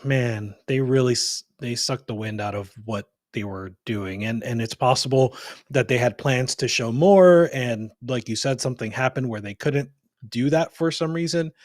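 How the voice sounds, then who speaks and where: A man's voice: medium pitch, a little mellow, no room sound, clean studio sound. A man talking casually as a guest on a live podcast, laughing often and chiming in with short agreements. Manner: laughs often, quick affirming interjections. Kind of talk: casual live-stream chat.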